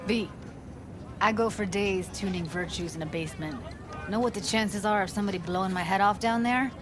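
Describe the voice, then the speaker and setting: A woman's voice: mid-range voice, fairly quick, noisy background, close to an everyday voice. An actress playing a hotheaded, jaded young female technician in a dystopian video game, with blunt, slangy, clipped delivery. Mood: bitter, defiant. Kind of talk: naturalistic video game dialogue.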